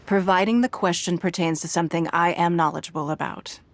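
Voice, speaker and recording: An actress playing a poised, shrewd noblewoman and business magnate in a video game, speaking in a composed, formal, measured way. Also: on the low side, steady tone, dry recording, lightly acted. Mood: composed, businesslike.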